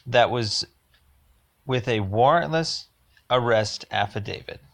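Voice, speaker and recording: A man leaving a rambling voicemail complaint, speaking in a halting, insistent and emphatic way. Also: mid-range voice, animated, gravelly, a bit bright.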